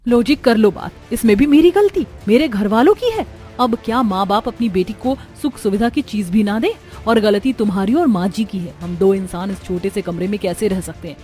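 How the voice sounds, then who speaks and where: A woman's voice: medium pitch, normal speed, mellow, soft background music, lightly acted. A woman voicing an aggrieved, argumentative young daughter-in-law in a Hindi family cartoon, with a nagging, indignant manner.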